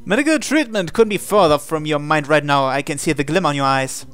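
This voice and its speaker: A man playing through a visual novel, reading narration and making deadpan remarks. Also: fairly high pitch, measured pace, clear, resonant tone, faint music behind. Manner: dry, deadpan asides. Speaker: game player reading and commenting. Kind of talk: reading narration and dialogue aloud.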